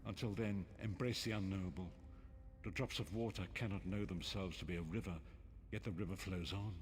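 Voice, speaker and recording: An actor playing a solemn, cryptic elderly prophet in a fantasy video game, speaking in a measured, formal way. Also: male voice, low-pitched, fairly even intonation, close to an everyday voice. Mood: solemn, mystical. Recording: long cavernous reverb with background noise.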